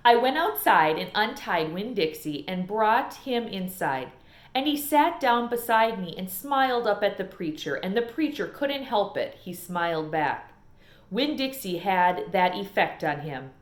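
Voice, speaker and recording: A woman reading a children's novel aloud as an expressive storyteller, in an echoey room. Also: medium pitch, unhurried. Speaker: storybook reader.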